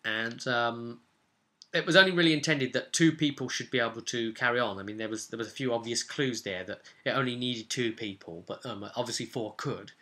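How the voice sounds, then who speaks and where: Man, very bright and forceful voice, mid pitch, casual rambling game master, animated, emphatic, repeats himself, rhetorical asides. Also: brisk. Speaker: game master and video host. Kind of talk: casual, rambling recap of a tabletop roleplaying session.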